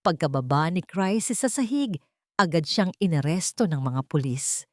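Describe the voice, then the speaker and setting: A woman's voice: sits low, big pitch swings, moderate pace, dry recording, studio quality. A woman narrator reading a scripted story in a dramatic style.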